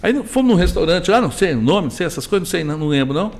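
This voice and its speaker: A middle-aged Brazilian man with a rather high voice that rises and falls a lot. He talks casually as a guest, wandering through anecdotes and restarting his sentences. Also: very fast. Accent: Brazilian Portuguese. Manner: animated, rambling anecdotes with many restarts. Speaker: guest, business owner. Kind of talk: casual conversation, storytelling.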